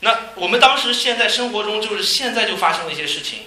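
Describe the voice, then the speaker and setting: Sharp, high-voiced male sermon interpreter. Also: lively intonation, fast. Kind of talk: live consecutive sermon interpreting. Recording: echoey room, faint noise.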